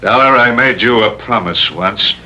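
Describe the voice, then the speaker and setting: A man playing a cool master thief in a 1950s radio drama, measured, smug and menacing. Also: lowish voice, sharp tone. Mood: cold threat. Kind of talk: 1950s radio villain acting. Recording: muffled, noisy old radio recording.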